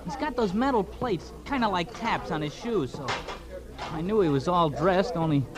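High-pitched, worried streetwise newsboy. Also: male voice, animated, moderate pace. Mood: anxious, earnest. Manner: hesitant, slangy, plain-spoken. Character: worried, earnest streetwise newsboy, polite to adults. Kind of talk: 1940s radio drama acting. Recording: vintage radio, crowd murmur behind.

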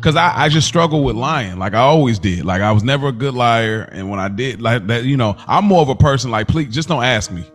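A man with a mid-pitched voice talks casually on a podcast, with music clearly playing behind him. His storytelling is slangy and straightforward, moving along in halting stretches. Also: animated. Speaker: podcast co-host.

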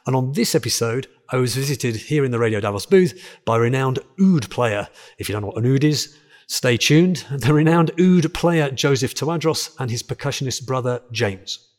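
A man hosting a radio interview podcast, speaking in a friendly, measured way and reading some parts from notes. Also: medium pitch, expressive. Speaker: podcast host. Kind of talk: radio interview and scripted intro.

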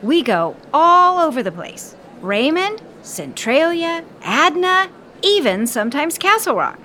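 Flirty chatty small-town lady, high lively voice, audio drama. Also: measured pace, slight background noise, heavily acted. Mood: friendly, chatty.

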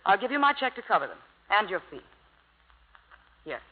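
A woman's voice: mid-range voice, very animated, normal speed, slightly rough. A woman playing a self-possessed, world-weary young society lady with a dry, unruffled manner in a radio drama. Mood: cool, guarded. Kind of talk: 1950s radio drama acting. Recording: tinny old radio broadcast, hall reverb.

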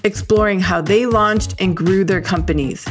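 A woman's voice: medium pitch, normal speed, over music. A woman hosting a business podcast, reading a polished intro and then interviewing a guest. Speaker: podcast host.

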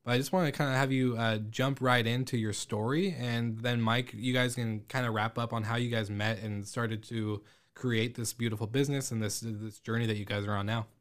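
An upbeat male podcast host with a medium-pitched voice. He talks in a chatty, friendly flow as he introduces his guests. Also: fairly even intonation, brisk, smooth. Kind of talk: casual interview hosting.

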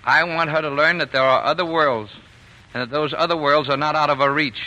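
An actor playing a gentle, indulgent working-class father in a 1940s radio drama, folksy, hesitant and tender. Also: on the high side, fairly even intonation, brisk, highs cut off. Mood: earnest, tender. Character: gentle, indulgent working-class papa, quietly scheming dreamer. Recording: noisy vintage 1940s radio recording.

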